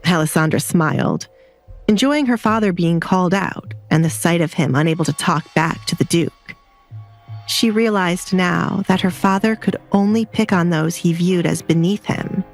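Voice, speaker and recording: A woman's voice: fairly low pitch, moderate pace, faint music behind. A woman reading scripted narration for a romance audio drama.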